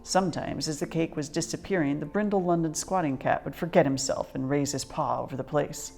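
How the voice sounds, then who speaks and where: A woman storyteller with a low, slightly creaky voice reads a children's picture book aloud. Her delivery is expressive and measured, with faint music behind her.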